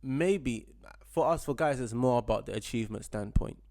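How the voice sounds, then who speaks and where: A man's voice: medium pitch, moderate pace, darkish tone, no room sound, studio quality. A man co-hosting a podcast with his wife, talking in an easygoing way and often repeating words as he thinks. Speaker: podcast co-host. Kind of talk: casual chat.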